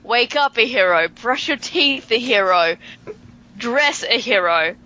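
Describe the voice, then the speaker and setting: A woman's voice: fairly high pitch, animated, pushed and bright. A woman co-hosting a podcast, chatting casually and arguing playfully, with a faint Southern twang. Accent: hints of Southern American. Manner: playful, argumentative, drawn-out emphasis. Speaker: podcast co-host. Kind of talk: casual chat. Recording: dull lo-fi podcast audio.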